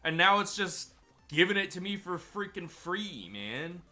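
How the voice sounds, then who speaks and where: A man streaming a game, chatty and excitable, sounding a bit stuffy from a cold. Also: sits high, measured pace, faint music behind. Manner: chatty, excitable over wins. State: stuffy, slightly sick. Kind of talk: gameplay commentary.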